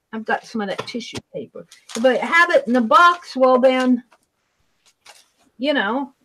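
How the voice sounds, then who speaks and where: Brief: high-pitched woman, loud and chatty, casual crafting talk over a video call mic. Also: a little hiss or hum, rough recording. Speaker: live stream co-host.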